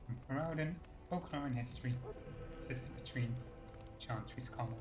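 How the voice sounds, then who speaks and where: A man's voice: mid-range voice, normal speed. A man reading fantasy game dialogue choices and lore entries aloud as scripted narration. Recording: faint game music behind the voice.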